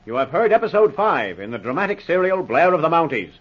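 A man's voice: a little high, sing-song, fast talker, husky. A man announcing a radio serial in a scripted 1940s style. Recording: muffled old radio recording.

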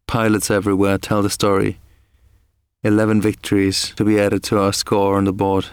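An actor playing a proud young wartime fighter pilot, reading his logbook in a measured, restrained manner. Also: on the low side, clear, resonant tone, no echo, studio quality, lightly acted. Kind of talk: dramatised diary reading.

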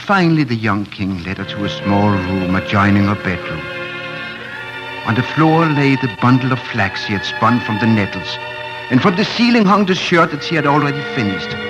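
A man's voice: mid-range voice, dull audio. A man narrating a fairy tale in solemn, lyrical 1940s radio drama style. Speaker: storyteller narrator. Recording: old radio recording with music behind.

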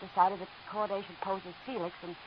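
A woman's voice: mid-range voice, little pitch movement, fast, natural voice. An actress playing a nervous, hesitant young woman who stammers, in 1940s radio acting. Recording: hissy dull old radio broadcast.

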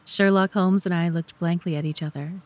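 Female narrator and podcast host, lower-register voice, scripted storytelling. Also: steady tone, fairly quick.

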